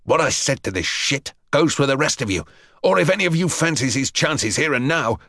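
A small schoolboy who has turned hard and dangerous, voiced by a male narrator. His gritty growl sits at a medium pitch, hard-edged and threatening, in a dry storybook recording. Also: animated, normal speed, sharp tone, no echo. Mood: menacing.

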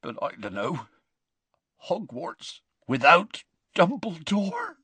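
Storybook casting: male reader as big bearded rustic gamekeeper, croaky, growling, tearful, tender, very animated pitch. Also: slow pace, dry recording, full character voice. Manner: rustic, dropped sounds, colloquial. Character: huge bearded, soft-hearted rustic gamekeeper man, tearful.

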